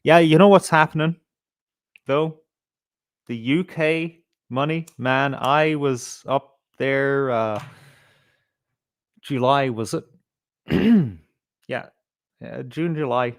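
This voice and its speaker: Slow-talking middle-aged Irish man, sweary. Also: mid-range voice, dry recording, studio quality. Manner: sweary, rambling, hesitant. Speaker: livestream host. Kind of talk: casual live-stream commentary, reading viewer comments.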